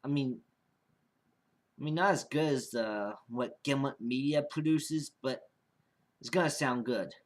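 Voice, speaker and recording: Rambling, halting young man, casual vlogger. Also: medium pitch. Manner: rambling, halting, repeats and restarts phrases. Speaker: amateur podcast creator. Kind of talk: casual unscripted vlog monologue.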